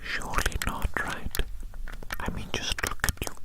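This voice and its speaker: An ASMR performer whispering through a playful roleplay, slowly repeating phrases in a babying way. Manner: slow, repetitive, playful, babying.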